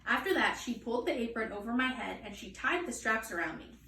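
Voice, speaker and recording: Higher-voiced woman reading aloud, echoey room. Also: animated, brisk, bright, forceful tone. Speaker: children's story reader. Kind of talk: expressive storybook read-aloud. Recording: echoey room, distant mic, faint noise.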